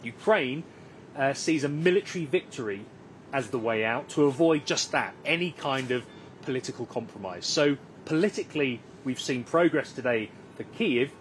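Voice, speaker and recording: Male news correspondent, mid-pitched, explanatory report, with some low rumbling noise behind. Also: lively intonation, moderate pace. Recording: clear background rumble and noise.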